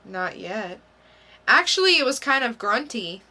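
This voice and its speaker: Woman reading as an awkward young guy, slow, hesitant. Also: on the high side, very animated, pushed and bright, full character voice. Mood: nervous. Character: awkward, nervous young male comic book protagonist. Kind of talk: amateur comic book dramatic reading.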